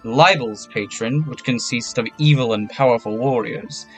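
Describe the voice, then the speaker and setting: A man's voice: medium pitch, moderate pace, husky, poor audio. Young guy game master rambling thru the scene, faint music behind. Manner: casual, loose descriptions with fillers. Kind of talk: narrating a tabletop game.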